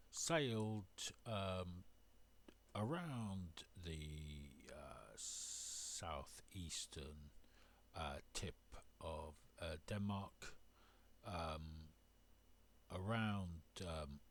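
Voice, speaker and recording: A man talks through his sailing trip at a very slow, unhurried pace. His pitch sits a little low, and he stops often with uhs and ums between short phrases. It sounds like a recorded voice-over for a travel vlog. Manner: hesitant, full of uhs and ums. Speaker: sailing vlogger.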